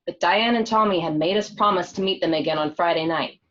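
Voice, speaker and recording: A woman's voice: fairly low pitch, brisk, fairly bright tone, natural voice. A woman playing an annoyed young woman, telling her story in an exasperated, matter-of-fact way. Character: annoyed young woman recalling a bad date. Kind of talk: dramatic table read, narrated recollection. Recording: dull lo-fi home call audio.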